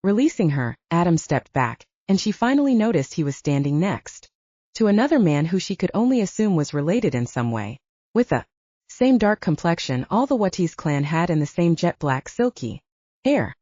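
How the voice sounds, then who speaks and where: A woman's voice: lowish voice, very animated, normal speed, warm tone, no room sound, dull audio. A woman reading romance fiction aloud as an audiobook narrator, in a scripted storytelling style.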